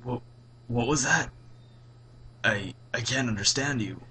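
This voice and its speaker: A man's voice: medium pitch, a little slow, pushed and bright. A man voicing a concerned father, earnest and anxious, in a narrated story. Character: concerned father, firm but gentle, anxious.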